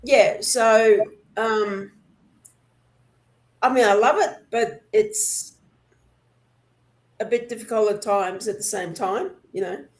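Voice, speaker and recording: Woman in her sixties, slow-paced, mid-range pitch, casual chat, hesitant, meandering delivery. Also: steady tone, darkish tone, low-quality recording. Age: 60s. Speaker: panel guest.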